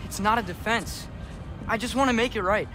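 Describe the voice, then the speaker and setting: A man's voice: sits high, fairly quick, noisy background, lightly acted. A young man playing an earnest, apologetic teenage boy warrior in a cinematic video game, stammering and pleading.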